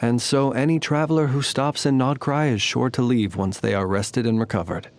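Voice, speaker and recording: A man voicing a calm, wry, ancient fae lantern keeper for a video game, speaking in formal, measured, theatrical phrases. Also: mid-range voice, close to an everyday voice. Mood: reflective. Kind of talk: scripted video game character voice lines.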